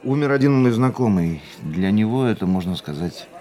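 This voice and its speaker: An actor playing a fatalistic, world-weary racing driver in a Russian radio drama, speaking in a measured, reflective way. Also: male voice, fairly low pitch, animated, warm tone, close to an everyday voice. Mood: weary, philosophical. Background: music.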